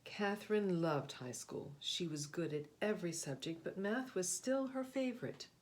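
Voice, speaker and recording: A woman reading a children's picture book aloud, with deliberate, expressive phrasing and short explanatory asides. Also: lowish voice, a little hiss or hum. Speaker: read-aloud host, storyteller.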